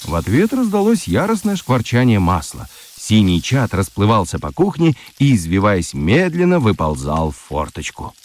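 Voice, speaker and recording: Highly expressive male narrator. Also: moderate pace, few pauses. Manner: expressive, storytelling. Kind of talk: scripted narration for a radio play.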